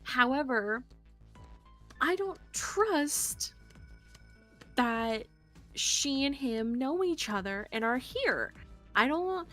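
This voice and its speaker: A young woman co-hosting a game stream with casual, animated, rambling commentary peppered with "like". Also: high voice, very slow, long pauses. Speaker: streamer co-hosting a visual novel playthrough. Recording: background music under the voice. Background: background music.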